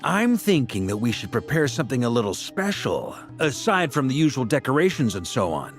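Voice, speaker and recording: A man's voice: mid-range voice, very animated, fairly quick, faint music behind. An actor playing a jovial, upbeat man in a video game. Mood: cheerful, breezy. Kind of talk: video game character acting.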